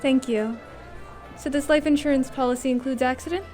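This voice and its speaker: A woman's voice: sits high, steady tone, fairly quick, a little mellow, natural voice. A woman playing a polite, calculating client in a noir audio drama, with music behind her. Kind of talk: noir radio drama dialogue.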